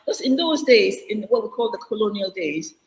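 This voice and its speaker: A woman's voice: mid-range voice, expressive, brisk, reverberant, long reverb tail, slight background noise, low-quality recording. A middle-aged Ghanaian woman answering an interview over a video call, earnest and reflective, often restarting her sentences. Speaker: guest.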